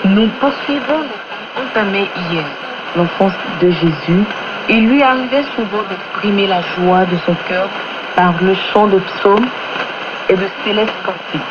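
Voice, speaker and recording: Female radio presenter for devotional narration, measured and reverent, low-pitched, buried in loud shortwave noise. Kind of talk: scripted religious narration read aloud. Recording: noisy, muffled shortwave radio broadcast.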